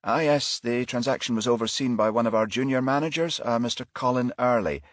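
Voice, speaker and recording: A man's voice: mid-range voice, a little fast, dry recording, studio quality, voice pushed up, lightly acted. A man voicing a polite, deferential male bank accounts chief in an audiobook, formal and obliging.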